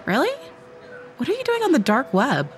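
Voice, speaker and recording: A woman's voice: sits high, huge pitch swings, moderate pace, faint music behind. A young woman acting a chatty, blunt college student who cares about her friend, in a casual, wry audio drama delivery. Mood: wry, teasing. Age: young adult. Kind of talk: dramatic dialogue in old time radio style audio play.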